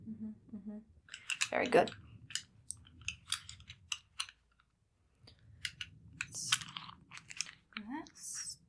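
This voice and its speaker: A woman playing an evil, vain, paranoid doctor in an ASMR roleplay, speaking in a soft, hushed whisper with a calmly menacing manner. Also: mid-range voice, takes it slowly, slight background noise, lightly acted. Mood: coolly threatening. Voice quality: soft, whispery, with creaky fry.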